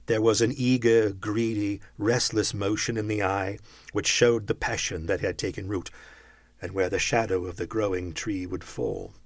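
A man reading a classic story aloud with animated, expressive narration, stretching words for emphasis. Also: lowish voice, no echo. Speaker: audiobook reader.

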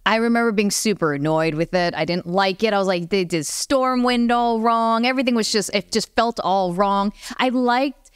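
A woman's voice: mid-range voice, moderate pace, clean tone, dry recording, studio quality. A woman in a casual panel discussion, speaking in a candid, informal way as a panelist on a gaming show. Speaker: panelist on a video game talk show.

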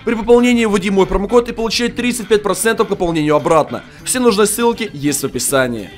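A man's voice: high-pitched, expressive, quick pace, faint music behind. A young man making a gaming video at home on a headset, hyped and full of amazed exclamations, sounding a little sick. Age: young adult. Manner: hyped, exclaims in amazement, pushes likes and promo codes. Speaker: mobile game youtuber. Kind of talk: excited gaming video commentary. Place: small room at home, indoors.

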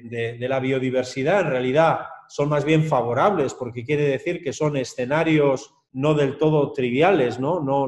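A man with a slightly higher voice gives a relaxed academic talk over a video call, keeps checking in with his listeners and sounds set in an echoey room. His comes through as he explains. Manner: lively, conversational, with frequent tag questions. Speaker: lecturer. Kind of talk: academic webinar lecture.

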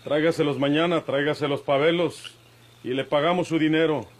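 An actor playing a fair-minded young cowboy outlaw in an old radio drama, measured and emphatic, with a rural Mexican accent. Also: male voice, a little high, fairly even intonation, lightly acted. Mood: firm. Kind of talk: Mexican golden-age radio drama acting.

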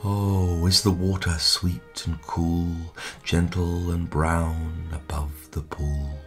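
A man giving a dramatic poetry recitation in a measured, expressive way, with hushed line endings. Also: deep voice. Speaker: poetry reader. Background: music.

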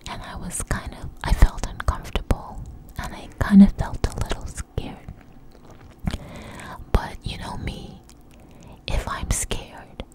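A woman telling a rambling personal story in a breathy, hushed ASMR whisper, halting as she goes, very close to the microphone. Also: rough recording. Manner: slow, hushed, halting delivery.

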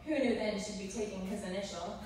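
A woman's voice: medium pitch, a little fast. A young woman delivering a scripted rhyming storybook speech as a bridesmaid at a wedding. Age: young adult. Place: large wood-walled indoor reception venue. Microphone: mic on a stand, picked up by far camera. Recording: echoey hall, distant camera, noisy room.

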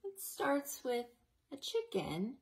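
A woman in her forties reading a picture book aloud to young children in a slow, exaggerated, sing-song way, recorded on a close webcam at home. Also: high voice, huge pitch swings, gravelly, a little mellow. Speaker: children's librarian. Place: living room at home, then a library.

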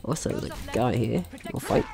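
A man's voice: medium pitch, wildly swooping pitch, moderate pace, gravelly. A young man giving casual let's play commentary as he plays a game. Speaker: gaming video host.

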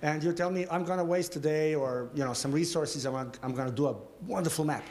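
A man's voice: on the high side, a little fast, a little mellow, slight background noise. A man in the audience asking a provocative, playful question at a talk, acting out hypothetical scenarios. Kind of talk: conference Q&A. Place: gallery lecture room.

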